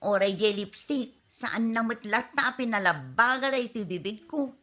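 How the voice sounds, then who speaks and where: An actress plays an indignant young Ilocano woman in a radio comedy. Her voice is high and sharply bright. She grumbles and exclaims in a whiny, family-squabble way. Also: expressive, moderate pace. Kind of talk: radio comedy drama acting.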